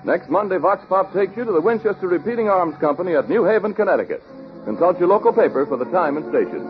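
An American man announcing and pitching a sponsor in 1940s radio style. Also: animated, quick pace, over music. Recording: dull old radio recording, faint noise.

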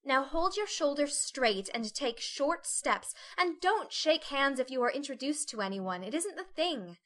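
Woman acting a teenage elder sister, prissy, high-pitched, sharp bright tone, ladylike, audiobook character. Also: expressive, measured pace. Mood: prim, instructing. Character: prim, fussy, anxious teenage elder sister.

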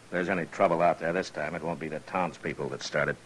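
A man playing a steady, authoritative frontier marshal in a 1950s radio drama, speaking in a measured, dry, laconic way. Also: a bass voice, breathy edge, full character voice. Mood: easygoing, wry. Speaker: lead actor in a western radio drama. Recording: noisy vintage 1950s radio recording.

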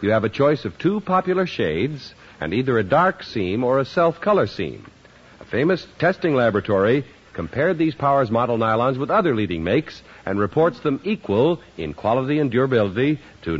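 A male announcer reading a 1950s radio commercial in a smooth, persuasive, polished delivery. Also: medium pitch, sing-song, fairly quick. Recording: dull 1950s radio recording.

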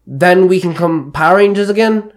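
A man voicing an excitable young male hero in a story reading, informal and given to exclaiming. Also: sits high, normal speed, voice pushed up, lightly acted. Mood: excited, startled. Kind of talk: amateur fan-fiction story reading.

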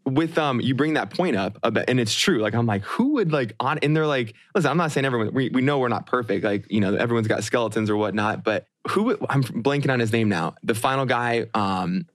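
A man's voice: medium pitch, brisk, few pauses, dry recording. A man of thirty co-hosting a podcast, chatting reflectively and casually with many fillers. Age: 30s. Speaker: podcast co-host.

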